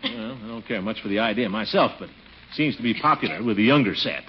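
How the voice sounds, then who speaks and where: A man's voice: fairly low pitch, very animated, fast, gravelly. A man acting a pompous, blustering, vain middle-aged man in a 1940s radio comedy, exclaiming and easily flustered. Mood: indignant, blustering. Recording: noisy, dull 1940s radio recording.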